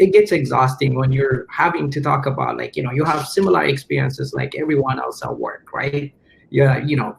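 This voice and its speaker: A man who grew up in Ethiopia shares his view on a panel, pausing often with fillers and starting over. His voice is strongly gravelly, and a faint hum of noise sits under it. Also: medium pitch, low-quality recording. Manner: hesitant, many fillers and restarts. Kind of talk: conversational panel discussion.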